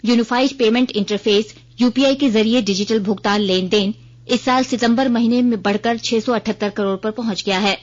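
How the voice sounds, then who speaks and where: Formal woman news reader, slightly dull radio sound. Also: fairly high pitch. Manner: formal, measured announcing. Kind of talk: scripted Hindi news bulletin reading.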